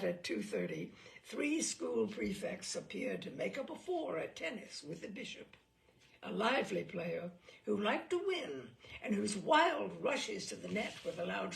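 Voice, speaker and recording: Wry woman reader with a gravelly voice. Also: medium pitch, fairly bright tone. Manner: wry, playful, amused delivery with occasional slips and laughs. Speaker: reader of humorous essays. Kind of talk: expressive reading of a comic memoir.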